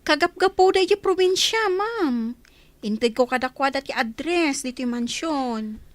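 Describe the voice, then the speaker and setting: A woman's voice: sits high, lively intonation, unhurried, dry recording. A woman with an Ilocano accent playing a guarded, evasive housemaid in a village comedy radio drama. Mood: defensive, deferential. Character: experienced housemaid, evasive, guarded, deferential to her madam.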